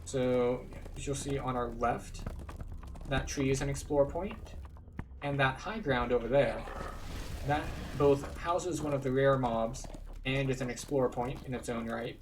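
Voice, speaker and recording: A man's voice: medium pitch, fairly even intonation, measured pace, far from the mic, slight background noise, low-quality recording. A man casually explaining a video game as he plays, like a tour guide pointing things out. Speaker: game walkthrough host. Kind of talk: casual gameplay commentary.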